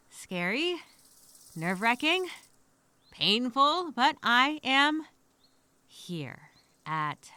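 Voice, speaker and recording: A woman playing an anxious stranded castaway, speaking a dramatic, halting, repetitive audio journal with expressive sighs. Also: sits high, exaggerated voice. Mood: relieved, shaken. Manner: expressive, halting, sighing, repeats herself. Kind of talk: dramatic first-person audio journal monologue.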